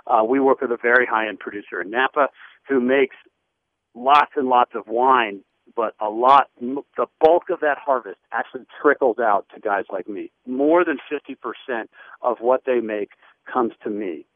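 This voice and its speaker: A man's voice: mid-range voice, normal speed, no echo. A man in the wine trade answering interview questions in a loose, chatty way with many fillers. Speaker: wine business guest. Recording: phone-like radio line.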